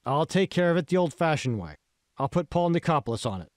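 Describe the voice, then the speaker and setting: A man's voice: fairly high pitch, sing-song, fast, dry recording, clean studio sound, voice pushed up. A man voicing a scheming, menacing tech billionaire in an audiobook, pointed and emphatic. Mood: needling, smug. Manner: pointed, emphatic, rhetorical questioning.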